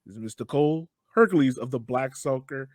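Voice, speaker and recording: A man's voice: medium pitch, animated, a little fast, darkish tone, no echo, studio quality. An American man hosting a casual podcast interview in an upbeat, chatty, encouraging manner. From Richmond, Virginia, United States.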